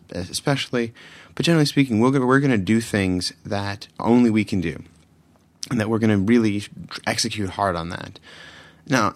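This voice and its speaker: Low, slightly raspy man hosting a podcast. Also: dry recording. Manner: rambling, self-correcting, many fillers. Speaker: podcast host. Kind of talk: solo tech podcast talk.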